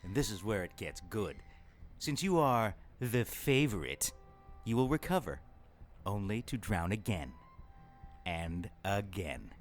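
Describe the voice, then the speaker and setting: A man playing a pompous, menacing demon villain in an audio drama, gloating in a theatrical way. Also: on the low side. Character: gloating male demon villain posing as human lover.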